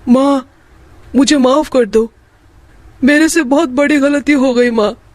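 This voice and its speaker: Guy doing a luckless meek young man from a moral tale, really high voice, slow, dry sound. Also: steady tone, no room sound. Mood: remorseful. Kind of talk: acted children's moral story.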